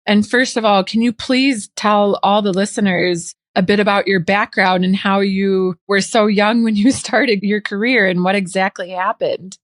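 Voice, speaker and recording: A woman's voice: mid-range voice, steady tone, normal speed, few pauses, no echo, clean studio sound. A woman in her forties from the United States, hosting a podcast interview in an upbeat, friendly way. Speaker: podcast host.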